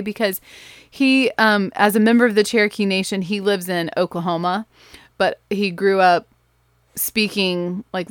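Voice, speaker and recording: Casting: woman, lowish voice, rambling casual storyteller, dry close sound. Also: no room sound. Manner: chatty, rambling, with fillers and laughs. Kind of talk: casual recap of an author event.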